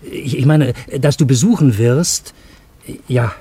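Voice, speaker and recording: A man's voice: medium pitch, slow pace, warm tone, breathy edge, no room sound. An actor playing a genial, easily bewildered Parisian in a German radio play, urbane and wry in his literary storytelling. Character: genial, bewildered Parisian man narrating a fantastic encounter.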